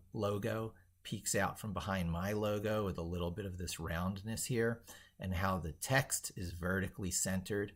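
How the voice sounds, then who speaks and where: Low-voiced man casually narrating a live demo. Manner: rambling, thinking aloud while demonstrating. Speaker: live stream host. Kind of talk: casual live screen-share commentary. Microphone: speaking into a microphone.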